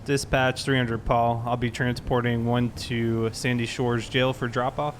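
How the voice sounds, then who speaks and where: A man's voice: mid-range voice, flat delivery, measured pace, slight background noise. A man role-playing a sheriff's deputy in a game, talking politely and procedurally to a suspect and over the radio. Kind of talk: police roleplay game talk and radio calls.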